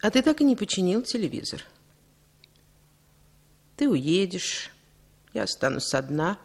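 A woman's voice: mid-range voice, sing-song, a little slow, creaky, breathy edge, full character voice. Plaintive, lonely mother. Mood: sorrowful, pleading. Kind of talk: 1960s Soviet radio play acting.

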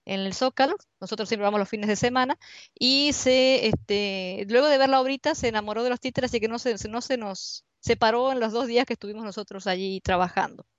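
A woman's voice: fairly high pitch, a little fast, dry recording. A woman with an Argentine accent, a university researcher giving an online seminar presentation in a conversational way, searching for words as she goes. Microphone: computer mic on a video call. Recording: dull lo-fi online call audio.

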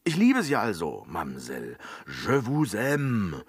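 An actor playing a brash, boastful manservant in a comic period radio play, flirting with theatrical swagger. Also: male voice, on the low side, wildly swooping pitch, slow, breathy edge, no echo, full character voice. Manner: swaggering, theatrical, with evasive hesitations. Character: brash, boastful male servant, flirting evasively.

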